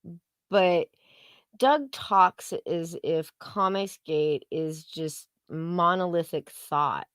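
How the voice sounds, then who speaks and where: Female livestream co-host, low pitch, very dry close sound, blunt and profane, casual opinion talk. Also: takes it slowly, clean tone, no echo.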